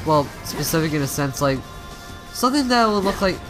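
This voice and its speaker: A man's voice: fairly high pitch, very animated, fairly quick, rough recording. Man rambling through game commentary over music. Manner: rambling, stumbling over words and rephrasing. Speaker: let's play host. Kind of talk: casual video game commentary.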